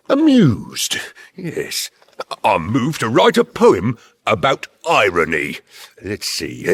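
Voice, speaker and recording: An actor playing a pompous, menacing alien captain in a radio comedy, slow and theatrical, with a rasping delivery. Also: male voice, medium pitch, a bit bright, exaggerated voice. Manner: slow, theatrical, bellowing commands and verse. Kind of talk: sci-fi radio comedy acting.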